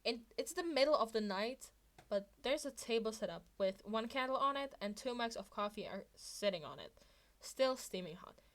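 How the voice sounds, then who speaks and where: High-voiced woman reading fan fiction. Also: animated, normal speed. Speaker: amateur podfic narrator.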